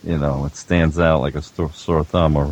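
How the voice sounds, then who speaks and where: A man's voice: rumbling low, flat delivery, normal speed, a little mellow. A middle-aged man chatting casually and giving tips as a roundtable guest. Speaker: audio producer, guest panelist.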